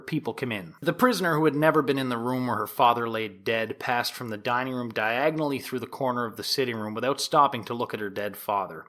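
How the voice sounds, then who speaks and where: A man acts out a nineteenth-century prosecutor addressing a jury in a courtroom audio drama. He is a solemn, accusatory figure with a lively, widely moving pitch. His oratory is formal and deliberate, rising firmly on the points he wants to press. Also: natural voice. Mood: measured, grave. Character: 1890s male prosecuting attorney, solemn, insistent, accusatory. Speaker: actor in an audio theatre production. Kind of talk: dramatized courtroom opening statement.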